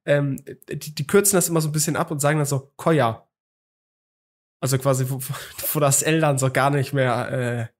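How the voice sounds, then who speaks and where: A man's voice: mid-range voice, fairly even intonation, normal speed. Young male co-host, hungover, rambling story-teller full of ums and restarts. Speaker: podcast co-host. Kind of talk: casual chat.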